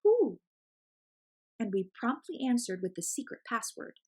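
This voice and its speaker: A woman reading a picture book aloud as an expressive storyteller for children. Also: medium pitch, fairly quick, breathy edge.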